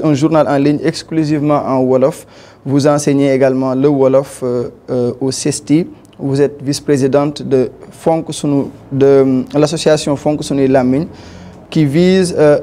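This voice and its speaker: A man's voice: a little high, mellow. A man hosting a formal TV interview, polite and hesitant, with many fillers.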